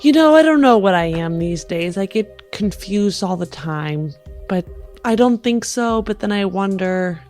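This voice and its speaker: A woman's voice: mid-range voice, animated, unhurried, a little mellow, soft background music, close to an everyday voice. A woman voicing a hesitant, conciliatory woman undercover in a tabletop game, speaking in an earnest, hedging way. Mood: uncertain.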